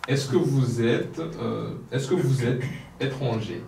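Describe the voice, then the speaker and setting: A man's voice: mid-range voice, takes it slowly, creaky, darkish tone. Patient man teaching French. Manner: patient, prompting, corrects and confirms. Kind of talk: language lesson drill. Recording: roomy, distant mic, faint noise.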